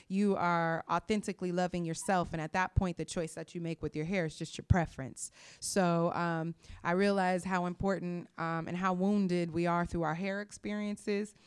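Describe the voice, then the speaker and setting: Smooth-voiced woman guest, passionate. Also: lowish voice. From Sacramento, California. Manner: passionate, talks at length with fillers. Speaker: interview guest, hip hop artist and hairstylist. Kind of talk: casual interview talk.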